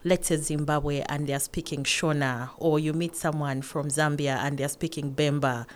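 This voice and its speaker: A woman in her forties from northern Uganda, a guest answering interview questions in a calm, measured way. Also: sits low. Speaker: podcast guest, academic.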